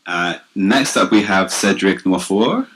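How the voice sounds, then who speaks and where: Man, event emcee, very low voice, upbeat announcer, faint hiss behind. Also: lively intonation, a little slow, fairly bright tone. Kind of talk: introducing speakers.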